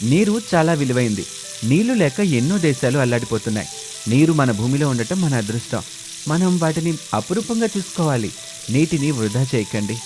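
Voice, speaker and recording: A man's voice: medium pitch, expressive, a little fast, natural voice. A man voicing a courteous, confident young aspiring actor in a cartoon story. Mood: solemn. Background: background music.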